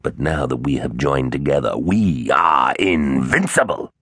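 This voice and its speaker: A man playing a chunky, scary dinosaur villain, boastful and menacing, with a rasping hiss in a children's storybook. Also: extremely low pitch, lively intonation, unhurried, fairly bright tone, no echo, studio quality, heavily acted. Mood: hissing menace. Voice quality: rasping, hissing.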